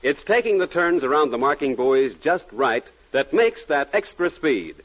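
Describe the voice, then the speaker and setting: A man's voice: a little high, big pitch swings, moderate pace. A man giving a folksy, enthusiastic commercial read in 1940s radio style. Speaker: commercial announcer. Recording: muffled 1940s radio recording.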